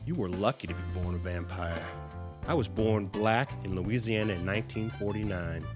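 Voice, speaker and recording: A man's voice: lowish voice, moderate pace, lightly acted. A man playing a newly turned vampire drunk on power, grandiose, brooding and bitter, in a campy horror-comedy film. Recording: loud music over the voice.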